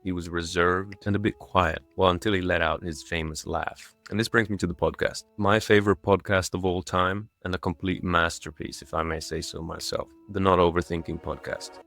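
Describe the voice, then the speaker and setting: A young man in his twenties speaks in a low-pitched voice, with faint music underneath. He narrates a personal video from a script, with a lightly self-mocking, tongue-in-cheek touch. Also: brisk, fairly bright tone. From born and raised in Dubai in a Croatian family, moved to England as a child. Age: 20s. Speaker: video creator.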